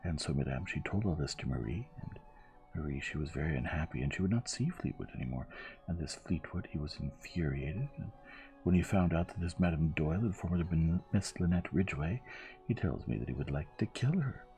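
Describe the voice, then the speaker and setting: A man voicing a sly, excitable young French lady's maid in an audiobook, with a French accent and an emphatic, pleading manner. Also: extremely low pitch, brisk, faint music behind, poor audio. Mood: emphatic, gossipy. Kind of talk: audiobook character voice.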